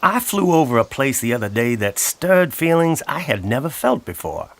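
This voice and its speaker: A man voicing a friendly, wry blackbird who tells a children's story in a folksy, chatty, expressive way. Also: medium pitch, normal speed, slight background noise. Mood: wry storytelling. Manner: folksy, expressive, addresses listener directly. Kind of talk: animated children's audiobook storytelling.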